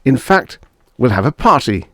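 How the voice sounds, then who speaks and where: A man's voice: mid-range voice, sing-song, normal speed, a bit bright, dry recording, clean studio sound, pitched above the performer's own voice. A man voicing a weary, stubborn but tender husband in an audiobook story. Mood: forced cheer.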